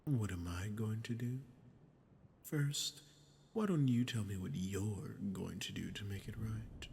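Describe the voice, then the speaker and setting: A man playing a sadistic, smug archdemon in an ASMR roleplay, talking down to the listener with slow, mocking condescension. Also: lowish voice, darkish tone, a little hiss or hum. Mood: coolly menacing. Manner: mocking, condescending, slow taunting delivery with breathy drops. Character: sadistic, smug male archdemon toying with a captive ruler. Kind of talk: ASMR audio roleplay, dramatic monologue to the listener.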